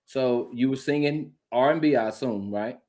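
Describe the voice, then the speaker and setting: A man's voice: medium pitch, normal speed, a little mellow. A man hosting a casual interview, teasing his guest and repeating his questions. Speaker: interviewer, host.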